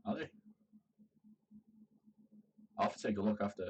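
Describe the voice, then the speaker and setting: A man from Manchester, hoarse from a sore throat, chatting casually and swearing as he streams his gameplay. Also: on the low side, normal speed, distant mic, a little hiss or hum. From Manchester, England. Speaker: game streamer. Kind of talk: casual live gameplay commentary.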